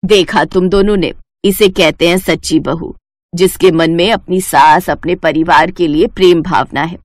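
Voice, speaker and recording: Woman voicing a low-pitched, commanding old mother-in-law. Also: steady tone, normal speed, no echo, clean studio sound, natural voice. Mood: approving, firm. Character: stern, shrewd elderly mother-in-law testing her daughters-in-law. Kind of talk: animated moral story dialogue.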